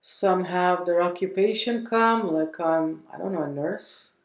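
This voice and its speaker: A woman leading a guided meditation in a gentle, unhurried manner, leaving long pauses between phrases. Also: fairly low pitch, reverberant.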